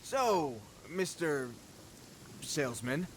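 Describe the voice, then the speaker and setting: An actor playing a cocky, slangy bandit thug in a video game, swaggering but easily cowed. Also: male voice, a little high, animated, drawn-out words, slight background noise. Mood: nervous. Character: cocky male treasure-bandit thug, quick to cower. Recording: game music behind the voice.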